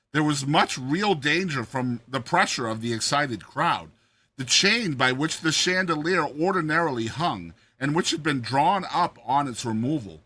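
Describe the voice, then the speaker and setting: A male narrator reads a classic tale with very bright, forceful projection. His pitch sits a bit high and moves around in a lively way, and his phrasing is deliberate and theatrical. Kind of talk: dramatized reading of a classic short story.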